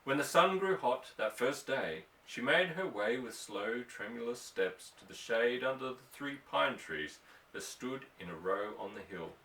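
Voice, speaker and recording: A man's voice: mid-range voice, expressive, a little slow, fairly bright tone. A man reading a story aloud as an audiobook narrator, in a scripted narration style. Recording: echoey room, distant microphone.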